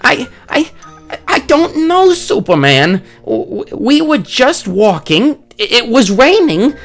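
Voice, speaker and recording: A woman's voice: a little high, sing-song, slow, full character voice. A woman voicing a frightened, flustered female bystander who stammers, in a comic-book dub. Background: faint music.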